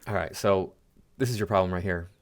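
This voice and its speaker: A man's voice: sits low, fairly quick. A man giving casual, opinionated reaction commentary to viewers in a blunt, direct way. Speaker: commentary YouTuber.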